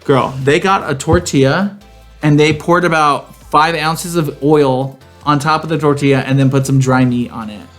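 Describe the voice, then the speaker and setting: A man's voice: on the high side, moderate pace, soft background music. A man co-hosting a podcast, telling stories in a casual, gossipy, slangy way and acting out dialogue. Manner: animated slangy retelling, quoting dialogue, saying "girl". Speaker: podcast co-host. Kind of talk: casual chat, gossipy storytelling.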